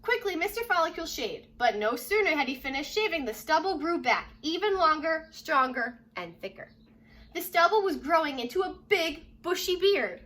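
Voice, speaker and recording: High-pitched, animated woman reading a storybook. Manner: expressive, stresses repeated words, asks listeners questions. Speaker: teacher reading a picture book aloud to children. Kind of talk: storybook read-aloud.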